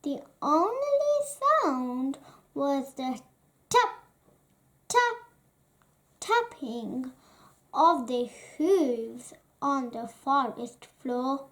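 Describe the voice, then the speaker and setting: A woman with a high voice reads a children's storybook aloud. Her delivery is very slow and deliberate, with expressive phrasing throughout. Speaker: storybook reader.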